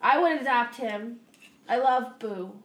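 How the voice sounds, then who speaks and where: A woman at a tabletop game, speaking in an excitable, gushing way. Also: fairly high pitch, unhurried, roomy sound. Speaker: player.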